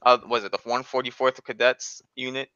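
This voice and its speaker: A young man with a bright, crisp voice banters casually, full of slang and ready to argue his point. He sounds boastful, and the recording is close and dry but a bit dull and lo-fi. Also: medium pitch, little pitch movement, brisk, dry recording.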